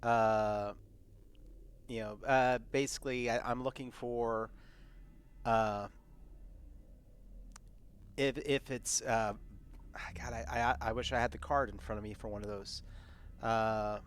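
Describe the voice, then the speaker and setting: A man's voice: mid-range voice, steady tone, takes it slowly, pauses often. A man playing a tabletop game, speaking casually and politely as he searches for his words. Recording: clearly noisy background.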